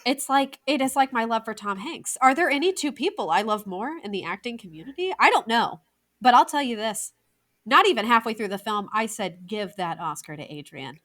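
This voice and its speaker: A woman in her thirties from the United States, co-hosting a casual film review podcast, chatty and excitable with quick asides. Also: on the high side. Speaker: podcast co-host.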